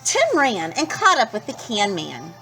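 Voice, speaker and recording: A woman teacher reading a children's picture book aloud, expressive and stopping to ask young listeners questions. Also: on the high side, a little slow, soft background music, poor audio. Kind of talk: children's storybook read-aloud.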